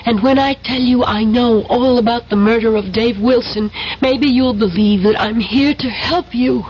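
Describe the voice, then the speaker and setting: A woman's voice: on the high side, normal speed, close to an everyday voice. An actress playing an urgent, secretive woman in a 1970s spy radio drama. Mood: urgent, insistent. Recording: muffled vintage radio, faint noise.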